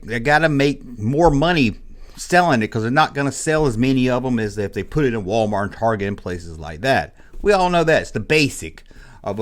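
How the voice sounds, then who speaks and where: A man hosting a toy collecting channel, ranting bluntly in a casual, exasperated way, his voice hoarse from being sick. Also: mid-range voice, fairly bright tone. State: hoarse, losing his voice after illness. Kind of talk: casual opinion rant to camera.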